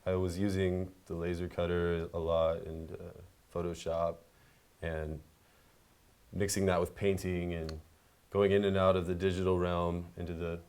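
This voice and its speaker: Low, monotone man, laid-back and hesitant. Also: unhurried, smooth. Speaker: guest, visual artist. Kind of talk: casual interview chat.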